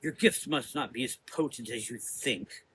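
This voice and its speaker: A man's voice: medium pitch, normal speed, fairly bright tone, slight background noise, close to an everyday voice. A man voicing a stern, defensive mother superior in a gothic audio drama, indignant and imperious. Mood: scornful.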